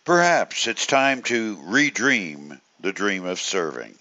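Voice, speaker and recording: A man hosting a devotional, reading a scripted reflection and scripture in a measured, expressive preacherly delivery. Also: fairly low pitch. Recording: dull, lo-fi voice recording.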